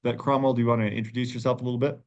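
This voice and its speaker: A man's voice: mid-range voice, little pitch movement, racing. A middle-aged man hosting a podcast in a conversational interview style. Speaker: podcast host. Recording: dull, slightly lo-fi sound.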